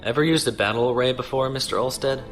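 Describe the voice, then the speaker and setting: Male actor as sci-fi audio drama captain: steady, courteous authority, bright forceful tone, light score underneath. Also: mid-range voice, fast, close to an everyday voice. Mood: composed, instructing. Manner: crisp, authoritative, composed. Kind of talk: science fiction audio drama acting. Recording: faint music behind.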